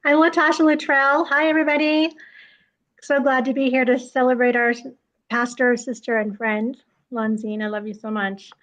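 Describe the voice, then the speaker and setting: A woman from California greets everyone affectionately on a video call. Her voice is fairly high, and she sounds distant and muffled. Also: steady tone, moderate pace, fairly bright tone. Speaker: guest.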